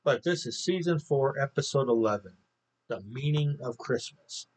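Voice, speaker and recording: A man co-hosting a faith podcast, talking casually and off the cuff in halting phrases. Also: mid-range voice, lively intonation, a little mellow. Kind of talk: casual unscripted talk.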